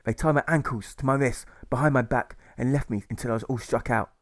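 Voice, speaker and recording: A man's voice: mid-range voice, fairly even intonation, fairly quick, no echo, studio quality, close to an everyday voice. Man reading as a sporty, hearty athlete. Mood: aggrieved. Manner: talks in sporting idioms.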